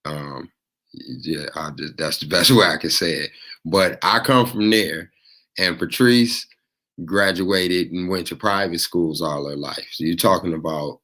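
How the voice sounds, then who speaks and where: Deep-voiced man moderating a panel. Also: measured pace. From Gary, Indiana. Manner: relaxed, laughing asides, thanks speakers by name. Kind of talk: casual panel discussion.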